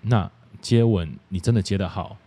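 A man's voice: deep voice, normal speed, warm tone, breathy edge. A man with a Taiwanese Mandarin accent hosting a podcast in a casual, frank chat style. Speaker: podcast host.

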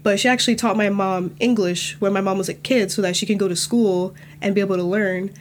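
A nineteen-year-old woman talking casually on a podcast, relaxed and full of "like" and "you know" fillers. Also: medium pitch, brisk, slight background noise. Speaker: student guest.

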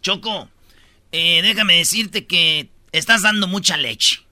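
A man's voice: high voice, slow pace, bright, forceful tone, dry recording, heavily acted. A man voicing Erazno, a cheeky male radio host who makes crude teasing jokes. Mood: mocking.

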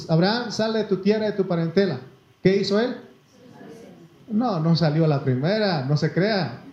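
Predicador mexicano, voz suave y resonante. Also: male voice, fairly high pitch, mellow. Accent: Mexican Spanish. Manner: folksy, addresses listeners as brother, asks for amens. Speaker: preacher. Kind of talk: sermon with Bible reading. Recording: echoey room, slightly muffled.

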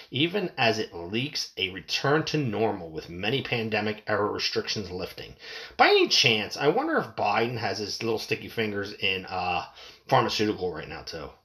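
A man's voice: lowish voice, pushed and bright. A man with a sniffly nose giving a heated, profane, rambling rant as he reacts to a news story. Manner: heated, swearing, rambling with restarts. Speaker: commentator.

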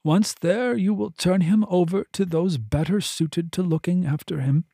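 A man's voice: sits high, moderate pace, creaky, warm tone, slightly rough, dry recording, studio quality, voice pushed up, heavily acted. A man voicing an authoritative noble figure who speaks formally and with measure.

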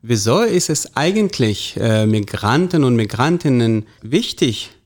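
A man moderating a radio panel, hesitant and filling pauses. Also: medium pitch, big pitch swings. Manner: hesitant, with frequent fillers.

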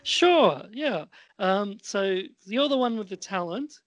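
A man chatting casually and hesitantly as an amateur podcast performer, often pausing as he thinks aloud. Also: sits high, expressive, a bit bright, muffled recording, a little hiss or hum. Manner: hesitant, halting. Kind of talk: casual chat.

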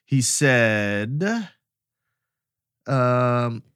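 A man co-hosting a podcast in casual chat, with halting phrasing. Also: medium pitch, steady tone, fairly bright tone, clean tone, no room sound, studio quality. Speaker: podcast co-host.

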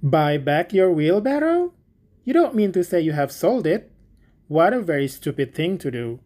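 A self-satisfied miller from a storybook, voiced by a woman reader, pompous and preachy. The voice sits low and mellow, barely shifted from her own. He lectures with smug calm. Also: moderate pace. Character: smug, selfish male miller preaching friendship. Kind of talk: storybook reading.

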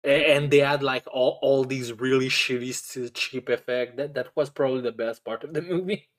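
A man hosting a casual chat in a halting, stammering way, with blunt swearing. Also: medium pitch, steady tone. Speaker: podcast host.

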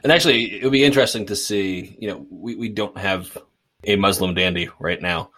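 Deep-voiced, thoughtful, hesitant man. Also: fairly quick, sharp tone. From suburban Boston. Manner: thoughtful, hesitant, restarts often. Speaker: podcast co-host. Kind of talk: casual discussion.

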